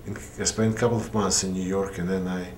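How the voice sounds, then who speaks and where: A man in his fifties with a Russian accent, speaking haltingly with long pauses in a documentary interview. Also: low voice, flat delivery, a little mellow. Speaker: puppeteer interviewee. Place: indoor puppet theater, seated interview. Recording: roomy echo with faint noise.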